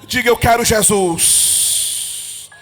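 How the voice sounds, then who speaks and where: A male evangelist leading a Pentecostal prayer, chanting, speaking in tongues and rising to fervent shouts. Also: very high-pitched, drawn-out words. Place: church. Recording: reverberant church hall with music.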